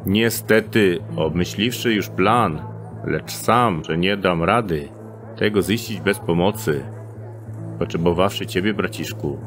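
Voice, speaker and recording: A man's voice: sits low, measured pace, over music. An actor playing a sly male thief in an audio drama, speaking in stilted archaic phrasing and coaxing his friend into a scheme. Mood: scheming.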